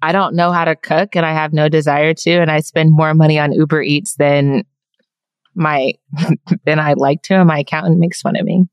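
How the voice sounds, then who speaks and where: Woman, German native, deep voice, wry, matter-of-fact, dry sound. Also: steady tone, normal speed, clear, resonant tone, no echo. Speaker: podcast guest. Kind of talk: casual interview chat.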